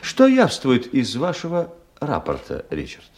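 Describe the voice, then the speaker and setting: An actor playing a suave, pompous, slyly menacing boss in a radio drama, with drawn-out, deliberate phrasing. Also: male voice, mid-range voice, huge pitch swings, a little mellow. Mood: pompous, probing.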